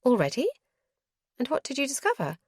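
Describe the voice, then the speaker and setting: A woman's voice: high voice, huge pitch swings, fairly quick, breathy edge, dry recording, pitched above the performer's own voice, heavily acted. An earnest young noblewoman pleads her case in a lifted, eager voice, as a woman reads her. She sounds insistent and proper. The sound is dry and close.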